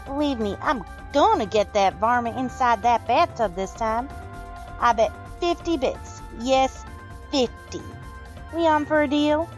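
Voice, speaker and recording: A woman's voice: fairly high pitch, expressive, unhurried, soft background music. A woman voicing a feisty, stubborn young female cartoon pony farmer with a folksy drawl. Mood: determined.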